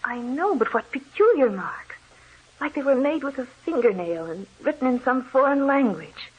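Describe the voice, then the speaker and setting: A woman's voice: high-pitched, animated, moderate pace, husky, highs cut off. An actress playing a curious, easily frightened woman with melodramatic urgency, in an old radio drama on a noisy recording. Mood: curious, uneasy. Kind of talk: 1930s-40s radio drama acting.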